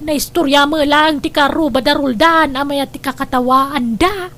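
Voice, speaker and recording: A woman's voice: high-pitched, steady tone, normal speed, a bit bright. A woman acting in a radio drama as a gossipy friend confiding in a worried mother.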